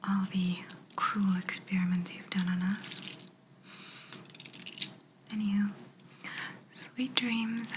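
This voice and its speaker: A woman playing a soothing, softly bossy alien abductor in a hushed, breathy ASMR whisper roleplay. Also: medium pitch, natural voice. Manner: slow, soothing, hushed, matter-of-fact.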